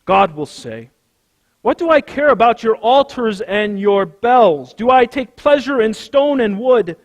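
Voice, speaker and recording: Slow, high-voiced pastor reading in church. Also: male voice, lively intonation. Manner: deliberate, declamatory, measured phrasing. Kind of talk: solemn scripted devotional reading. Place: large church during a service. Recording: long reverberant church hall.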